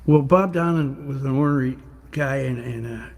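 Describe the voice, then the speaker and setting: An older male singer-songwriter with a rough voice, chatting casually and rambling a little between songs. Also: medium pitch, normal speed. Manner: rambling, warm-hearted, restarts often. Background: guitar.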